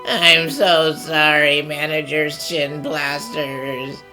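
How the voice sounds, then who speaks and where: A woman voicing an earnest, anxious perfectionist bank manager in a cartoon fan dub, formal and apologetic with a gravelly edge. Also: low voice, level tone, very slow, music in the background. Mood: anxious, remorseful. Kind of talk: animated mystery fan dub acting.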